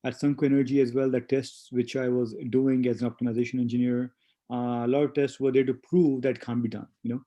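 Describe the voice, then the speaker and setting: Reflective Indian-born man, mellow voice. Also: mid-range voice, flat delivery, a little fast, muffled recording. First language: not English. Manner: reflective, hesitant with many fillers. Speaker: guest, spa owner. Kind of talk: casual interview conversation.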